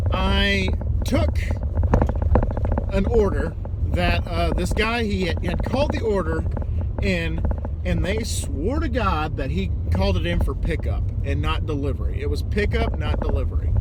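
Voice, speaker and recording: A man with a slightly higher-than-average voice tells a story in a loose, conversational way. He uses slang and the odd swear word and relays dialogue as he goes. Clear road or vehicle noise runs behind him. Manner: informal slang, swearing, quotes people in dialogue. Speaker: storytelling vlogger, pizza delivery driver. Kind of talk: casual personal storytelling. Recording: noisy outdoor or vehicle background sound.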